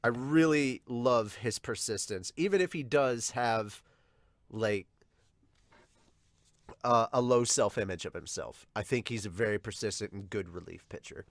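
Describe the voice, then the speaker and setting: A man's voice: on the low side, measured pace, no room sound. A man hosting a podcast, giving casual reaction commentary. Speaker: podcast host reacting to a manga chapter. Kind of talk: casual reaction commentary mixed with read-aloud dubbing.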